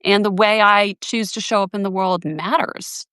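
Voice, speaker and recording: A woman in her forties talks things through in a relaxed, musing way, full of little restarts and "like"s. Her voice sits at a middle pitch and sounds dry and close, over a video call. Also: normal speed, smooth, dry recording. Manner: reflective, thinks aloud with many "like" and restarts. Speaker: host. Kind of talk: casual conversation on a live video.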